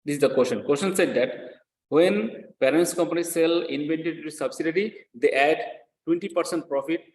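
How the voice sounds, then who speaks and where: A man's voice: fairly high pitch, a little fast. A man lecturing in accounting step by step as a non-native English speaker, repeating phrases and often checking that students follow. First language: not English. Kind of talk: classroom lecture, explaining step by step. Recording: echoey room sound.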